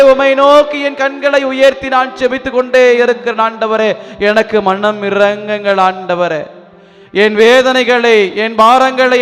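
High-voiced man praying fervently over music. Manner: fervent, pleading, rising and falling between loud appeals and hushed sorrow. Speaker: priest leading prayer. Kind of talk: spoken intercessory prayer. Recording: long reverb with faint music behind.

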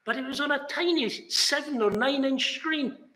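A man's voice: very high voice, moderate pace, sharp tone. Older man preaching earnestly, echoey chapel. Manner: earnest, rising to exclamations. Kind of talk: sermon. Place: chapel hall, indoors. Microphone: fixed camera, seated mid shot.

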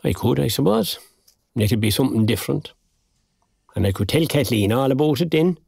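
A recently retired Irishman with a gravelly edge to his voice. He talks colloquially and with wry good humour, in a naturalistic audio drama, recorded close and dry. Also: medium pitch, animated, moderate pace, darkish tone, no echo. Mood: wry, chatty. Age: older.

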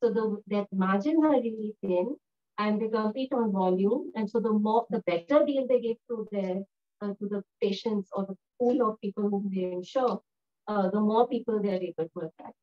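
Woman, panel talk, mid pitch, thoughtful pauses, muffled room recording. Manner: thoughtful, measured, with frequent fillers. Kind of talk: panel discussion remarks. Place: lecture room.

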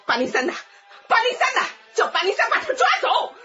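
An actress playing a furious, accusing sister of a murdered woman in a radio drama, shrill and scolding. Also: big pitch swings, brisk, sharp tone, lo-fi sound, faint music behind, exaggerated voice.

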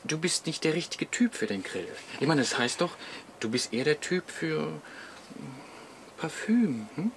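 Doting husband, animated voice. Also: male voice, a little high, moderate pace, slight background noise. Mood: coaxing. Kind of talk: German radio play acting.

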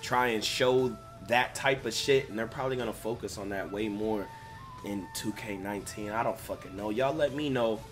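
Young american dude ranting casually about games, lots of swearing and slang, bit of music behind him. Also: male voice, lowish voice, moderate pace. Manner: slangy, animated venting. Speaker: gaming video creator commenting on clips. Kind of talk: casual, profanity-laden commentary.

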